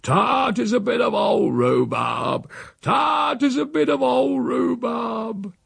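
A man's voice: high-pitched, wildly swooping pitch, slow, vocal fry, breathy edge, dry recording, voice pushed up, full character voice. A man voicing a cantankerous, roguish old country uncle in a story, with a rural English accent and a blunt, goading dialect manner. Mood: goading, defiant.

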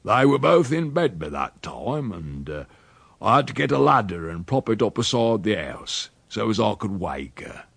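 Guy doing a crusty old country uncle, gravelly and husky, slow drawl, voice swoops all over, dry sound. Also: lowish voice, big pitch swings, fairly bright tone, dry recording, full character voice. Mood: sly. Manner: slow, chuckling drawl with dialect grammar. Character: sly old country uncle, boastful rustic yarn-spinner. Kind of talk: storybook reading.

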